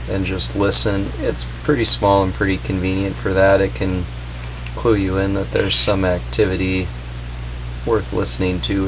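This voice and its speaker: A man's voice: sits low, sharp tone. A man giving a casual, unscripted gadget review in a hesitant, meandering, noncommittal way. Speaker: product reviewer. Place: indoors in a basement, at a workbench. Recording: dull audio, faint background hum.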